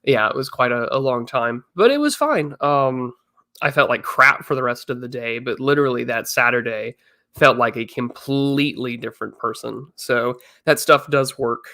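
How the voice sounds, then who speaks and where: A man's voice: mid-range voice, moderate pace, sharp tone. A man in his twenties on a casual livestream, chatting and answering viewers, still a little congested. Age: 20s. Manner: chatty, reads chat messages aloud. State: slightly congested. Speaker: streamer.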